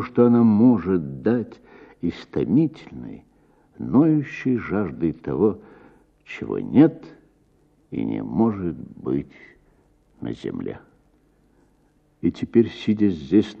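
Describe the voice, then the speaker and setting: A man reading a literary short story as expressive, theatrical audiobook narration for radio, with deliberate pauses and a husky voice. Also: fairly low pitch, narrow, tinny audio.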